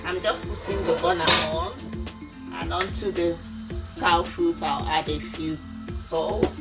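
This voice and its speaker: A Nigerian woman with a Nigerian accent chats slowly through her recipe, calling her viewers "guys" and filling pauses with ums. Her voice sits a little low, picked up on a phone mic in a home kitchen. Loud background music plays under her the whole time. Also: fairly even intonation. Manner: chatty, addresses viewers as guys, many fillers. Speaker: cooking channel host. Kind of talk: step-by-step cooking talk-through.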